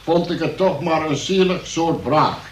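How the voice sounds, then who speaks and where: A gloomy, resentful grown son played by a male actor tells his story for a radio play. His delivery is slow, wry and hesitant, in a slightly high male voice. The recording is roomy and a little distant. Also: a crowd in the background, close to an everyday voice. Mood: gloomy, sardonic. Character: gloomy, resentful son tied to his invalid mother, narrating. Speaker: narrator and lead character. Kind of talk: Dutch radio drama, confessional narration.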